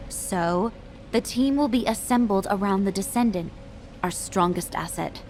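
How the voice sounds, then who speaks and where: An actress playing a composed, authoritative female military commander, speaking formally and in measured phrases for a game. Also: medium pitch, a little mellow, a little hiss or hum, lightly acted. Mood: grave, composed. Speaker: military adjutant giving a briefing. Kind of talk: scripted game dialogue, mission briefing.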